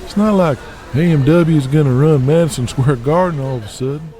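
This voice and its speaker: Casting: male actor as easygoing eighties wrestling colleague, soft warm tone, slightly high voice, unbothered. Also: brisk, close to an everyday voice. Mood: casual.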